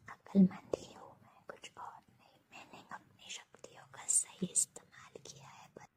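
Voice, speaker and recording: A woman talking in a breathy whisper, coy and teasing, in an intimate phone conversation. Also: moderate pace, warm tone. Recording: close, breathy phone call recording.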